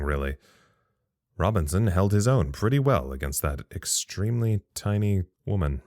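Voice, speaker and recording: An actor playing an earnest, chatty facility radio operator, giving a rambling, matter-of-fact log in an audio drama. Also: male voice, deep voice, darkish tone, no echo, studio quality.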